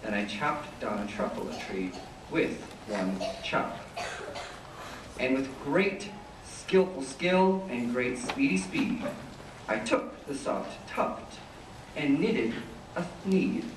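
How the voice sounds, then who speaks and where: A man's voice: medium pitch, animated. Man playing a secretive old storyteller, roomy and distant. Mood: nostalgic, wondering. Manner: theatrical, drawn-out storytelling. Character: secretive old male hermit creature, nostalgic, boastful, wheedling storyteller. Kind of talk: readers theater verse performance. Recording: roomy, distant, faint noise.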